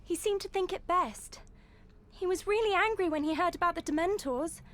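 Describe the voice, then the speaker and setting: A woman's voice: very high voice, normal speed, a bit bright. An actress playing an earnest, easily exasperated teenage witch in a video game. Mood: concerned, apologetic. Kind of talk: scripted video game cutscene acting.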